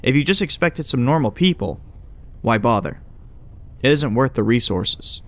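A man's voice: mid-range voice, animated, normal speed, lightly acted. A man playing a smug, casual agent who explains things glibly in audio drama dialogue. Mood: measured, probing. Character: breezy, smug male agent who knows hidden things.

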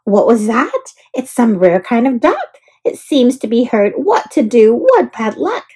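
A woman's voice: on the high side, big pitch swings, measured pace, warm tone, husky, heavily acted. A woman voicing a kind, helpful young boy in a storybook, exclaiming and fussing earnestly. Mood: concerned.

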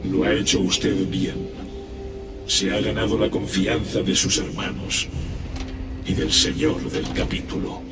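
Stern suspicious chaplain, distant-sounding, unhurried, measured, severe, video game cutscene, loud score. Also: male voice, low voice, little pitch movement. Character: stern, suspicious male warrior chaplain, coldly threatening. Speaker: voice actor. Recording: distant voice under loud music. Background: loud cinematic music.

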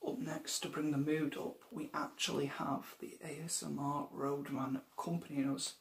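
A young man hosting a spoof news show, soft-spoken in a mock newsreader style. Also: a little high, level tone, moderate pace, reverberant, recorded from a distance. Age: young adult. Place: small room indoors.

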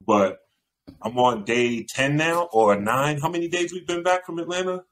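A man co-hosting a podcast, telling about his diet in slow, unhurried casual chat. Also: fairly high pitch, a bit bright. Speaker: podcast co-host.

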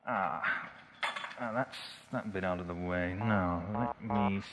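A man's voice: deep voice, slow, a loud crowd. A man playing an eccentric, curious time traveller in an audio drama, musing in a hesitant, donnish way. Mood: calm, musing. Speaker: actor in a fan audio drama. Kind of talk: science fiction audio drama acting. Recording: lo-fi 1980s amateur audio, hissy, sometimes echoey.

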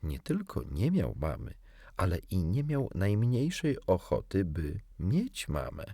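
A man narrating a children's story as an audiobook reader, in an expressive, theatrical way. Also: deep voice, measured pace, slightly rough, no room sound, clean studio sound.

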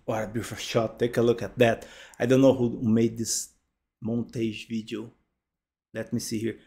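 A man from Brazil, a native Portuguese speaker with a Brazilian accent, hosting a music reaction in a halting, word-repeating way on a headset mic at home. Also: medium pitch, little pitch movement. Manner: halting, repeats words, exclaims in delight. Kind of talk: casual live reaction commentary. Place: home studio, indoors, gaming chair.

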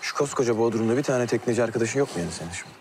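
An actor playing an earnest, restless young man who talks fast in slang, outdoors at night. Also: medium pitch, lively intonation, noisy background, natural voice. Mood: urging. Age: young adult. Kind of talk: TV series acting. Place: outdoors at night, town square. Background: score music.

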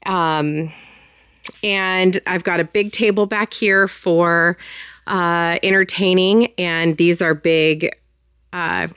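A woman's voice: lowish voice, fairly even intonation, takes it slowly, a bit bright, smooth, no room sound, clean studio sound. A woman in her thirties chatting casually through a tour of her basement storage, with lots of ums and likes and bursts of enthusiasm. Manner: chatty, filler-heavy, enthusiastic. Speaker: home organizing vlogger. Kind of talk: casual walkthrough chat. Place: home basement storage room.

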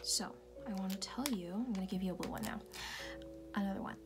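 A woman speaking in a soft, breathy, often unvoiced whisper, tenderly reassuring the listener in an intimate ASMR roleplay. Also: medium pitch, a little fast. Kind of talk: ASMR personal-attention roleplay, comforting affirmations. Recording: music playing behind the voice. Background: music.

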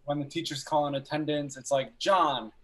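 A young man who first spoke Albanian joins a podcast over a video call from a small room at home. His voice sits a little higher than most men's, and he tells his stories in an easy, unhurried way, stopping now and then to gather his thoughts. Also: fairly even intonation, fairly bright tone. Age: young adult. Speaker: guest filmmaker. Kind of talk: casual interview chat.

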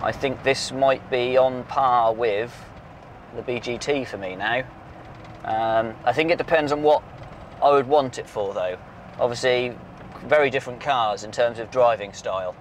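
A man's voice: medium pitch, measured pace, a little hiss or hum. Easygoing mechanic in his thirties. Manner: relaxed, many "um"s and restarts, friendly banter. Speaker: workshop mechanic. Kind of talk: casual technical walkthrough. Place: outdoor workshop yard, then inside a moving car. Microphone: lapel mic.

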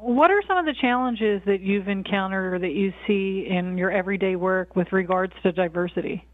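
A woman's voice: mid-range voice, brisk, no echo. A woman interviewer asking prepared, plain questions. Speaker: interviewer, clinical manager. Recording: dry, phone-like sound.

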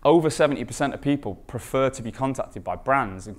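A man's voice: mid-range voice, expressive, a little fast. A man presenting as a conference speaker, informal and emphatic, often posing rhetorical questions. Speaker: conference speaker, recruitment marketing expert.

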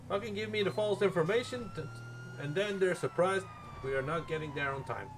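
A man's voice: high voice, fairly quick. A man chatting in character on a live game stream as a medic, casual and foul-mouthed, grumbling as he goes. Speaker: streamer role-playing as an emergency medic. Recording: noisy game audio with sirens behind. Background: emergency sirens and game sounds.

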